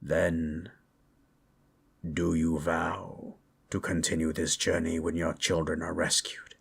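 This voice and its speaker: A man voicing a solemn, measured sage who speaks formally and gravely in a fantasy audio roleplay. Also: low voice, little pitch movement, exaggerated voice.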